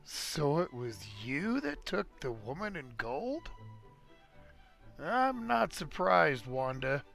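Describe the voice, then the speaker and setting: A gravelly, bitter man who has been betrayed, acted by a man in a 1940s radio play. He accuses slowly, the pitch rising and falling. Faint music sits behind him. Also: very animated, fairly bright tone, full character voice. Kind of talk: 1940s radio drama acting.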